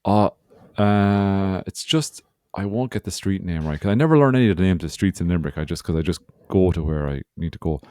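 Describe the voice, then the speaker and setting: A man's voice: low-pitched, expressive, fairly quick, hardly any pauses, mellow, dry recording, clean studio sound. An Irish man in his forties, co-hosting a podcast with rambling, sweary, joking chat, sounding hungover. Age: 40s. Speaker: podcast co-host. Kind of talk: casual banter.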